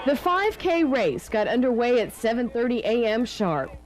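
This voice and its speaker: A woman's voice: on the high side, big pitch swings, moderate pace, crowd noise, noisy background. A woman working as a TV news reporter, reading a scripted narration.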